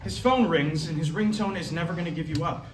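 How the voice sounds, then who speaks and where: A man's voice: a little high, brisk, faint music behind. A man reading stage directions as scripted narration at a staged reading. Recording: echoey hall, distant mic, noisy.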